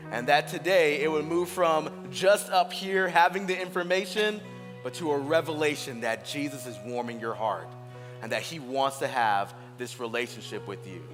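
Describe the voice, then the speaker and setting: Earnest man in his thirties preaching. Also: medium pitch. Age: 30s. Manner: earnest, personal, slows down on key phrases. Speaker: pastor. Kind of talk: Easter sermon, shared testimony. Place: large church auditorium. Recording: reverberant hall with music underneath.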